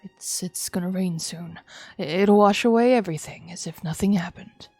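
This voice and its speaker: A woman's voice: fairly low pitch, normal speed, mellow, no room sound, soft background music, close to an everyday voice. A woman voicing a terse, uneasy father in a visual novel, stammering a little and trying to sound reassuring. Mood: uneasy reassurance.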